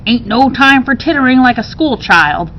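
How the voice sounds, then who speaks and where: A woman's voice: high voice, expressive, moderate pace, fairly bright tone, pitched above the performer's own voice. Woman playing a scolding old granny, dull noisy recording. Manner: folksy, scolding speech. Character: stern, cantankerous old granny scolding her family.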